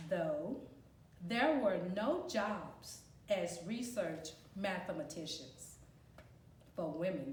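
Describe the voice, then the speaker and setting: A woman reading a children's picture book aloud in a deliberate, explanatory, teacherly way, as if to young students. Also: medium pitch. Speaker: volunteer storybook reader. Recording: roomy echo, distant microphone.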